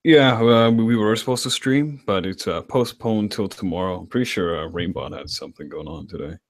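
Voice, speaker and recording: A man's voice: on the low side, brisk, vocal fry. A man joining a livestream as a guest caller, chatting casually. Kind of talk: casual chat.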